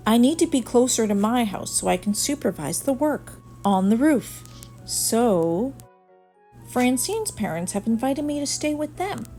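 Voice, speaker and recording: Woman playing a reassuring male teacher, animated delivery. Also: on the high side, unhurried, pitched above the performer's own voice. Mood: calm, reassuring. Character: friendly male schoolteacher, adult, calm and cheerful. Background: music.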